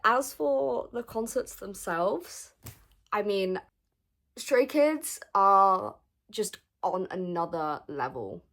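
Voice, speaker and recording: Young woman, hoarse and gravelly after losing her voice, excitable and gushing in a casual vlog. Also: medium pitch, slow. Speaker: vlogger.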